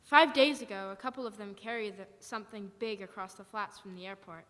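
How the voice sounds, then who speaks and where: A woman's voice: mid-range voice, brisk, fairly bright tone, lightly acted. A woman playing a defiant, wary militia survivor with blunt, folksy, emphatic speech in a live radio drama. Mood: bitter, resigned. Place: church hall stage, live performance. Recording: echoey hall, live performance.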